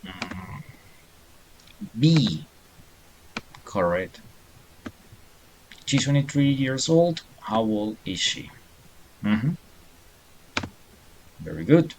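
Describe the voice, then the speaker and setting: A man's voice: on the low side, very animated, very slow, long pauses, darkish tone, a little hiss or hum, rough recording. A middle-aged man teaching a beginner English class online, patiently encouraging students and reading answers aloud.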